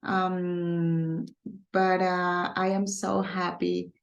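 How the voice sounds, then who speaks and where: Slow Colombian-accented woman teacher, gravelly. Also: fairly low pitch, flat delivery, roomy sound, muffled recording. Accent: Colombian Spanish. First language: Spanish. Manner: hesitant, many fillers, speaks slowly on purpose. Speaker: Spanish teacher. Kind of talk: recorded online class, casual.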